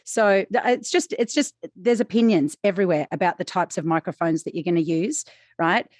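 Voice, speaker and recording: A woman with a low, slightly sibilant voice talks shop in a loose, chatty way, tossing in little "right?" asides. The sound is very dry and close, with no room around it. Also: fairly quick, a little mellow, dry recording. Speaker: podcast co-host, voice actor. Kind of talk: casual chat.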